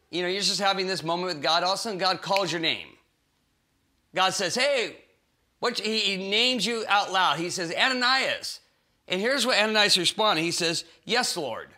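Man, 40s, pastor teaching to camera; high, very bright voice, animated and playful. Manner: animated, acts out voices in the story. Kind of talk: small-group Bible teaching. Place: cozy living room set with bookshelves and sofa.

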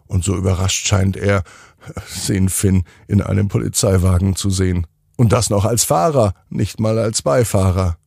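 A man narrating a scripted children's bedtime story in a gentle, unhurried way, with deliberate pauses. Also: sits low, gravelly, husky, dry recording, clean studio sound.

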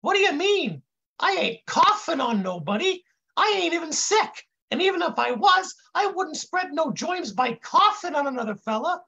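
A man voicing a big, clueless young intern in a high voice, using old-time comic slang in a live story reading. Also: sing-song, moderate pace, gravelly, pushed and bright, lo-fi sound, exaggerated voice. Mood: confused, earnest. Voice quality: high-pitched. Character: big fat dim-witted male intern kid, innocently clueless.

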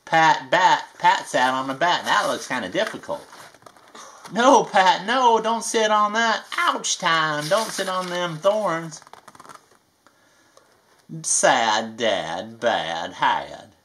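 A performer plays a playful storybook alligator with a bright, punchy voice and a folksy Southern US drawl. The reading is slow and emphatic, made for small children. There is some noise behind it. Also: mid-range voice, very animated. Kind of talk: children's picture book read-aloud.

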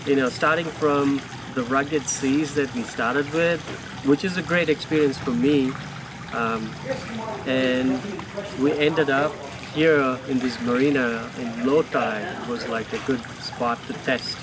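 A man's voice: medium pitch, a little slow, background noise. A man answering interview questions casually, hesitant and thinking aloud with fillers, outdoors at a marina. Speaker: guest crew member interviewed.

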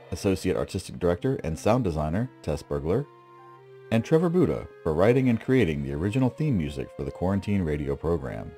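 A man's voice: sits low, mellow. A man working as a radio play announcer, reading a scripted broadcast sign-off.

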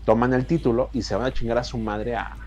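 A Mexican man in his thirties talks casually and profanely, rambling from one point to the next. He speaks at a middle pitch into a desk webcam in a small room at home. Faint music plays behind him. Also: quick pace. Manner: profane, rambling, animated with hand gestures. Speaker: show host. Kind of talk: casual chat.

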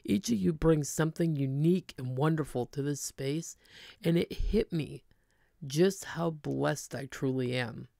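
A woman in her thirties, a cleaning channel host, speaking in a soft, earnest, sincere voice-over. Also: sits low, unhurried, creaky, no room sound, clean studio sound. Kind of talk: heartfelt voice-over narration.

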